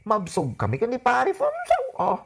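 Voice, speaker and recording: A man's voice: extremely high pitch, huge pitch swings, normal speed, vocal fry, slightly rough, heavily acted. A man with an Ilocano accent playing a cheeky, stubborn, wisecracking husband in a comedy radio drama. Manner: wisecracking, exclamatory, argumentative. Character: cheeky, stubborn husband and grown son, joking glutton. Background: faint music.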